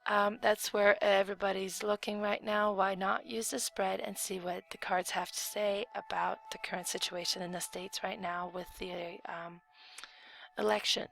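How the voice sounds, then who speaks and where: A woman's voice: mid-range voice, little pitch movement, normal speed, gravelly, fairly bright tone. A woman giving a casual tarot reading on video, explaining each card in a chatty way with frequent ums. Her voice is still recovering from a cold. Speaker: tarot reader.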